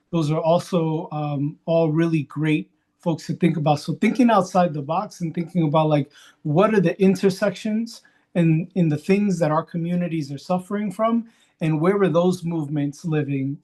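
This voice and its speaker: Reflective man with a higher-set voice. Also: a little mellow. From Queens, New York, USA. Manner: reflective, halting, punctuated with "right?". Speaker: panelist, community organizer. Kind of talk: unscripted panel talk.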